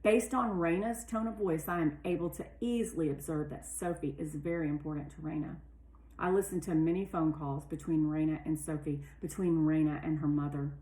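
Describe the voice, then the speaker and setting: A woman's voice: low voice, steady tone, fairly quick, dark tone. Woman reading aloud in an echoey room. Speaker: read-aloud narrator. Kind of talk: expressive children's audiobook narration. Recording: roomy, echoey sound.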